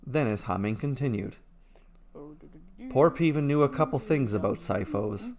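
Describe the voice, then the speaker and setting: A man narrating a scripted fairy tale as a storyteller, in a measured, deliberate way. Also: mid-range voice, expressive, darkish tone. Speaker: storyteller narrating his own fairy tales.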